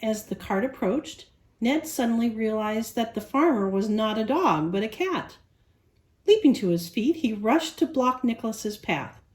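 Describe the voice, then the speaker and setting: Female children's storyteller, fairly high voice, very lively intonation, picture-book read-aloud. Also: measured pace.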